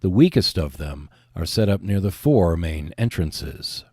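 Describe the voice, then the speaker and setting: A man's voice: low voice, lively intonation, unhurried, warm tone, a little hiss or hum. A man hosting a podcast, reading scripted narration.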